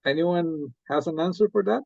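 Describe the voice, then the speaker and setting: A man's voice: on the high side, moderate pace, creaky, darkish tone, no room sound. A young man from Rica, giving a hesitant slide presentation to an audience with many fillers. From Costa Rica. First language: Spanish. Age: young adult. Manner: hesitant, frequent uh and um fillers, asks for next slide. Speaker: student presenter. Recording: slightly muffled, lo-fi.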